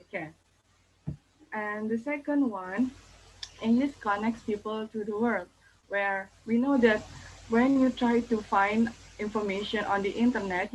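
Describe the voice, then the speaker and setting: Young woman, Indonesian accent, thesis defense talk, slow pace, halting with fillers, faint background noise. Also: mid-range voice, rough recording. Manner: careful, hesitant, many fillers and restarts. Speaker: thesis candidate.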